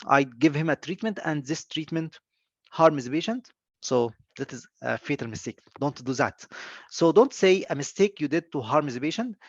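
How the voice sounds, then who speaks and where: A man with an Arabic accent, a doctor informally giving interview advice, speaking haltingly and often repeating words and phrases. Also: medium pitch, no echo. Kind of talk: informal advice talk with example answers. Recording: dull, muffled lo-fi audio.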